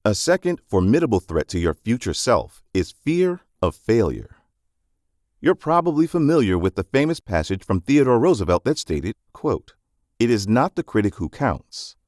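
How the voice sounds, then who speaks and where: A man's voice: fairly low pitch, big pitch swings, moderate pace, darkish tone, no room sound, studio quality. A man reading a scripted non-fiction book summary as narrator, with polished, emphatic phrasing.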